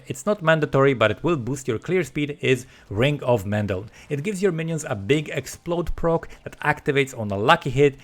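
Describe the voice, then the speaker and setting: A man presenting a scripted video game guide as a voice-over, explaining step by step and addressing the viewer directly. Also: mid-range voice. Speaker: gaming video creator presenting a build guide.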